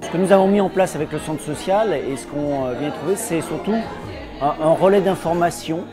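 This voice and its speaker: A man's voice: on the high side, over music, crowd noise. Man explaining carefully in an interview. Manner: careful, slightly halting explanation. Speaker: partner organisation representative.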